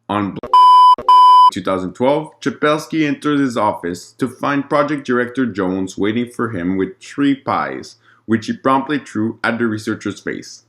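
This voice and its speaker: A man's voice: on the low side, fairly bright tone. A man narrating a scripted document log in a formal, report-like way, with an occasional stumble over the words.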